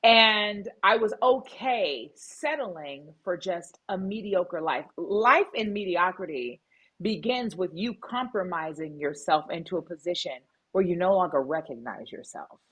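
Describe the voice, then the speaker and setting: A woman's voice: lowish voice, lively intonation, a little slow, a bit bright, slightly rough, low-quality recording. A middle-aged woman speaking as a guest in an interview, telling her story emphatically and with animation. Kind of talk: candid interview conversation.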